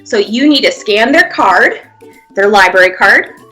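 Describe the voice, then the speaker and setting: A woman's voice: medium pitch, animated, a little slow, pushed and bright, soft background music, rough recording. A woman guiding young children through pretend play, giving simple encouraging step-by-step prompts with playful sound effects. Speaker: children's museum presenter leading a pantomime activity.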